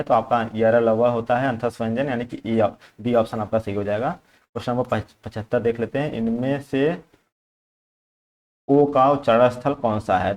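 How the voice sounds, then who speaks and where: A man's voice: mid-range voice, a little mellow. A man working through exam questions as a coaching teacher, explaining informally, repeating points and mixing in English terms.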